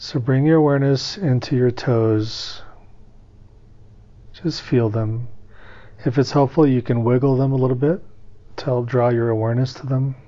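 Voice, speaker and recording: A man leading a guided meditation as its teacher, speaking in a calm, unhurried, soothing way with long pauses between instructions. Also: mid-range voice, lo-fi sound.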